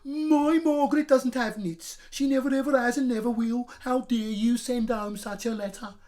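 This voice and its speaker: A man voicing an indignant, shrieking mother in a children's storybook, outraged and shrill. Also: steady tone, moderate pace, darkish tone.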